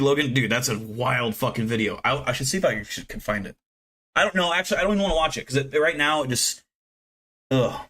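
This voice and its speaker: A man's voice: medium pitch, fairly bright tone. A man in his thirties chatting casually with viewers on a live stream, rambling from one thing to the next and swearing freely. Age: 30s. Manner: rambling, profane, self-deprecating.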